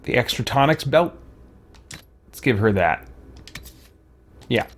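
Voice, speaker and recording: A man's voice: mid-range voice, animated, slow pace. A man giving casual, playful video game commentary, musing to himself as he plays. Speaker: gamer hosting a let's play. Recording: clear game noise behind voice. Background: video game ambience.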